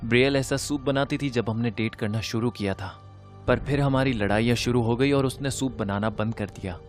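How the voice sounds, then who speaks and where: A man playing a sincere, wounded teenage boy in an animated story, speaking earnestly and expressively. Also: mid-range voice, normal speed, lightly acted. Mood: tender. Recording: music behind the voice.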